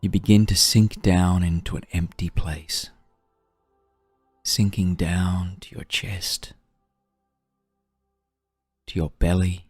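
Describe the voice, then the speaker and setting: A man guiding a meditation in a soft, breathy, soothing voice, gently and unhurriedly, with long pauses. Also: low voice, fairly even intonation, no room sound, faint music behind. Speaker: meditation guide. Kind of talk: guided meditation narration.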